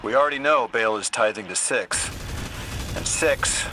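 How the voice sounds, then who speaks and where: A man's voice: mid-range voice, moderate pace, noisy background, natural voice. An actor playing a polite, curious younger male scholar in a video game, earnest and a little hesitant. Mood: grave, explaining. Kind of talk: video game cutscene acting. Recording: loud music underneath. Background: loud cinematic music.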